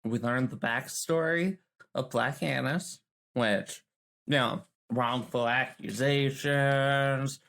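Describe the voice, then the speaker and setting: A woman's voice: very deep voice, takes it slowly, a bit bright. A woman chatting casually as a player on a role-playing podcast, with a wry manner. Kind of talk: casual chat.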